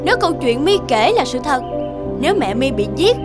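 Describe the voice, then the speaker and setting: Over loud music, a very high-voiced young cartoon goddess. She is smug and scheming, and her pitch swoops theatrically as she taunts. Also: sing-song, brisk. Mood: mocking. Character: haughty, scheming, mocking young sea goddess. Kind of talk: animated cartoon dubbing.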